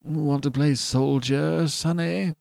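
A man voicing a casual, patronizing tailor's clerk in a storybook, with an offhand, drawn-out delivery. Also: a little high, steady tone, gravelly, darkish tone, dry recording, studio quality, voice pushed up.

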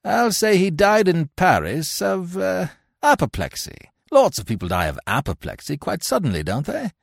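A man playing a fussy young gentleman who talks in an irritable, flustered way. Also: high voice, huge pitch swings, normal speed, vocal fry, dry recording, clean studio sound, voice pushed up, exaggerated voice. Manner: peevish, flustered.

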